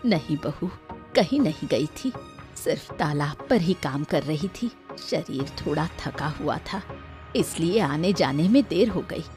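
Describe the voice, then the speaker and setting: A woman plays a long-suffering elderly mother in a story drama. Her voice sits lowish and swings expressively as she pleads humbly, with clear music underneath. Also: expressive, measured pace, a little mellow. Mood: defensive, weary. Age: older. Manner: pleading, self-effacing. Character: meek, long-suffering old mother-in-law, devoted to her son. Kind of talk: Hindi audio story acting.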